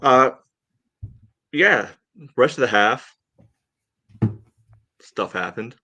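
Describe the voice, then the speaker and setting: A man co-hosting a sports podcast, giving his opinions in a casual, halting way. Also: mid-range voice, animated, pushed and bright. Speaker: podcast co-host.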